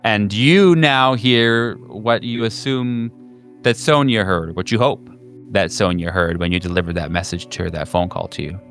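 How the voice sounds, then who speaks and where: A man's voice: on the low side, moderate pace, a bit bright. A man narrating a horror tabletop game as game master, in a hesitant, conversational and descriptive manner. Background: background music.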